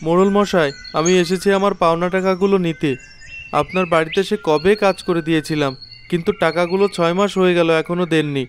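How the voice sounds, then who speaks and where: A man's voice: high voice, fairly even intonation, fairly quick, slight background noise, lightly acted. A man voicing an earnest, worried poor village shopkeeper and father in a cartoon moral story. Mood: pleading. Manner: earnest, pleading, polite. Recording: music behind the voice.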